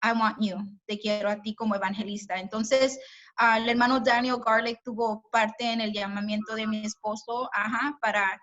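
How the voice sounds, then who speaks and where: A woman in her forties speaks in a slightly high voice, warm and talkative, with an easy laugh. Her voice comes through a muffled, lo-fi video call. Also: little pitch movement, normal speed. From Mexico. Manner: warm, chatty, laughs easily. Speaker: invited speaker. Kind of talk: casual virtual interview. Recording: dull lo-fi video call audio.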